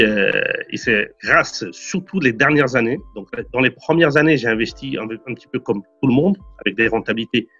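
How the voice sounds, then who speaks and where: Earnest man from Portugal, husky voice. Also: mid-range voice, a bit bright, muffled recording. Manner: earnest, repeats words, many fillers. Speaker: real estate investor guest. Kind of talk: interview answers.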